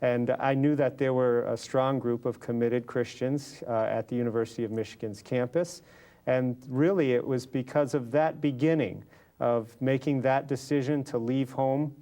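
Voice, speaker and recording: A man's voice: mid-range voice, fairly even intonation, normal speed, dark tone. An American man telling his personal faith story as a guest in an interview. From Los Angeles, California, USA. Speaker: interview guest, lay businessman.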